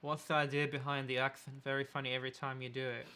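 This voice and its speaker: A young man with a London accent chatting casually with his partner in a relaxed, wordy way, sometimes searching for words. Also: mid-range voice, fast, clean tone. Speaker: photographer and vlogger, co-host.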